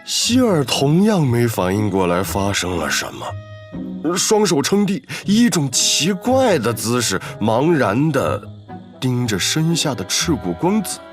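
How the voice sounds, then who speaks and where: Man for dramatic story narration, middle pitch, very animated, over faint music. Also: unhurried.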